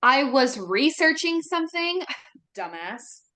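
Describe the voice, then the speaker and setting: A woman plays a brash young woman with a high voice. She is foul-mouthed, sarcastic and exaggerated, in a horror film script read aloud. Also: big pitch swings, slow, a bit bright, heavily acted. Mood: irritated, combative.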